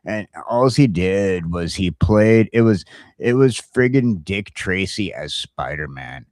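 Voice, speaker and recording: A man's voice: deep voice, expressive, takes it slowly, darkish tone, no echo, studio quality. A man talking casually and bluntly as a talk show host, ranting with strong opinions, swearing and repeating himself. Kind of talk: casual opinionated chat about geek culture.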